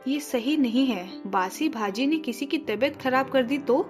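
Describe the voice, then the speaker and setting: A woman's voice: sits high, expressive, measured pace, soft background music. A woman voicing an honest, concerned wife in a children's story, plain-spoken and earnest. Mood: worried.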